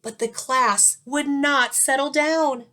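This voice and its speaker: A woman narrating a children's picture book aloud in an expressive style, with dramatic, deliberate emphasis. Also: high-pitched.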